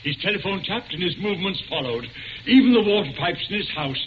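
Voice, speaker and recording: High-voiced dutiful gang lookout. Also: male voice, expressive, fast. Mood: assured. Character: dutiful gang watcher reporting on a prisoner. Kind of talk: 1940s radio thriller acting. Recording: muffled, noisy old radio broadcast.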